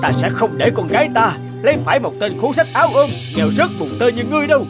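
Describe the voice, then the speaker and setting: A man's voice: very high voice, sing-song, fast talker, loud music behind the voice. A man playing a haughty, domineering rich landowner father who scorns the poor in a fairy-tale cartoon dub. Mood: scornful, furious. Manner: domineering, exclamatory.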